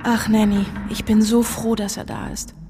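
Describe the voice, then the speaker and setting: A woman's voice: mid-range voice, flat delivery, normal speed, over music, lightly acted. A woman playing an anxious, affectionate young granddaughter in a German radio play. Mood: anxious, relieved.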